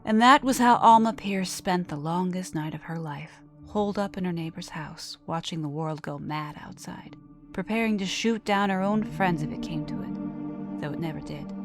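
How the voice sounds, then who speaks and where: A woman reading a scripted horror story as narrator, with dramatic, measured delivery. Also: low-pitched, over music. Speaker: storyteller and show host.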